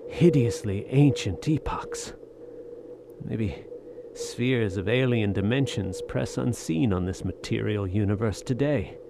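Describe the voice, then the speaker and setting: A soldier of fortune in a radio drama, haunted by unearthly horror. His delivery is slow, halting and awestruck. A clearly noisy bed of sound sits behind his voice. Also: on the low side.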